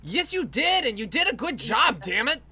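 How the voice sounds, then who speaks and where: Bright-voiced rambling stream host. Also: medium pitch, sing-song, moderate pace, husky. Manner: rambling, laughing, swears freely. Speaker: livestream host. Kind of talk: casual livestream chat while animating.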